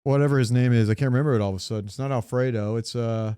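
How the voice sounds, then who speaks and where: A man's voice: medium pitch, fairly even intonation, racing, dark tone, clean tone, no room sound, studio quality. A man co-hosting a podcast in casual pop-culture chat, rambling and searching for words, with casual swearing. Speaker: podcast co-host.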